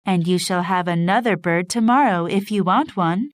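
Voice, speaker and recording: A woman voicing a gentle, wise mother of four girls in a storybook reading, calm and softly spoken. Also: fairly low pitch, normal speed, no echo, clean studio sound, close to an everyday voice. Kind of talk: audiobook character voice.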